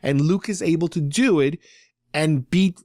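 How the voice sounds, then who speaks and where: Male podcast co-host, slightly high voice, conversational explainer delivering a casual recap, very dry recording. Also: unhurried, darkish tone, no room sound. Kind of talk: casual recap of a story episode.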